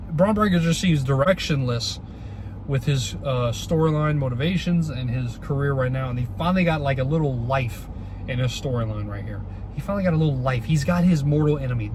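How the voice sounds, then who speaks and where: A young man talks through a stuffy, congested nose, firing off rhetorical questions in a loose, excitable ramble. His voice sits at an ordinary male pitch. A faint wash of street and car noise runs under him. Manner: excitable, repeats rhetorical questions, many fillers. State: congested from a cold. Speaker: wrestling vlogger. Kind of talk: casual solo opinion vlog.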